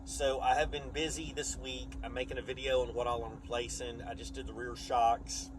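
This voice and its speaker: A man's voice: mid-range voice, flat delivery, moderate pace. A man chats casually and plainly in a folksy, rambling vlog style. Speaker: vlogger. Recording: clear vehicle and outdoor noise.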